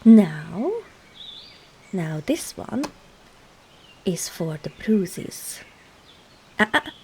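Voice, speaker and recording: A woman's voice: fairly low pitch, very animated, very slow, warm tone, slight background noise, full character voice. A woman voicing a sly, teasing traveling witch and herbologist in an ASMR roleplay, coy and murmuring into half-whispers as she addresses the listener. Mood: probing, soft.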